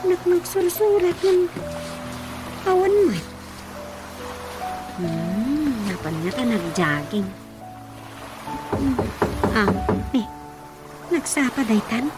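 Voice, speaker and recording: An actress playing a dutiful young adult daughter in a radio drama, speaking with an Ilocano accent and a fussing, attentive manner. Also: female voice, sits high, very animated, slow pace, long pauses, heavily acted. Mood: caring. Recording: music bed under the dialogue. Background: music.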